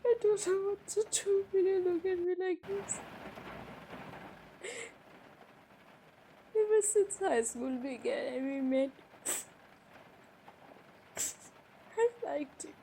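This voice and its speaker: A woman playing a hurt, defensive young woman in an emotional romantic roleplay, speaking haltingly with pauses. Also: very high voice, dark tone. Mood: tearful, confessing. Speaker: audio roleplay performer. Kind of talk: improvised romantic roleplay drama. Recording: noisy background ambience.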